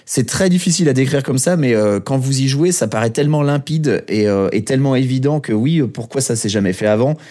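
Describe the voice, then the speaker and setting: A man's voice: mid-range voice, brisk, hardly any pauses, warm tone. A man co-hosting a casual podcast, talking with frequent hesitations and fillers but enthusiastic. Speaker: podcast co-host.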